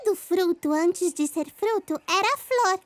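A woman's voice: extremely high pitch, lively intonation, a little slow, slight background noise. A woman with a Brazilian accent voicing a cheerful, eager little owl child in a children's cartoon. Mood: bright, explaining. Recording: loud children's music behind.

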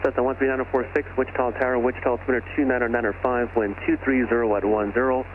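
A man's voice: mid-range voice, level tone, very fast. A man working as an air traffic controller, giving clipped procedural instructions over aviation radio. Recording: narrowband aviation radio with engine noise. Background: airplane engine noise.

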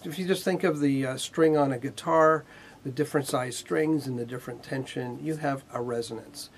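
A man in his fifties giving a technical lecture in a measured, explanatory way with pauses mid-sentence, his voice slightly husky. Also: medium pitch, steady tone, a little hiss or hum. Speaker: instructor, R&D manager. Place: indoor video studio with whiteboard.

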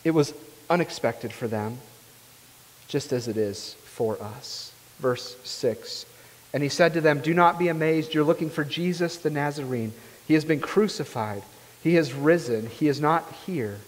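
A man's voice: on the high side, measured pace. A young man preaching a sermon as pastor, earnest and emphatic, often asking his listeners "right?". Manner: earnest, rising emphatically on key phrases, often asks "right?". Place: church sanctuary, large room. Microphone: far camera, speaking at a pulpit. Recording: long reverb of a church hall.

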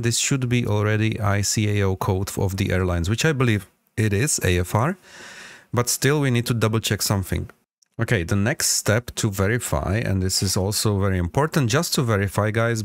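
A man hosting an informal step-by-step software tutorial, instructive and often checking in with the listener. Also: lowish voice, no echo. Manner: instructive, checks in with frequent "okay?". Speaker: tutorial host.

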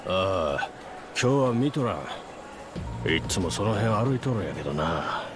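A man's voice: fairly low pitch, big pitch swings, normal speed, music in the background. An actor playing a wary, evasive male street local with a Kansai accent, grumbling reluctantly in a video game. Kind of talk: video game drama acting.

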